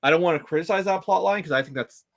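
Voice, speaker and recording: Man in casual halting chat. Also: on the high side, gravelly, a bit bright. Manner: halting, many restarts and fillers. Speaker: podcast co-host. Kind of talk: casual fan discussion.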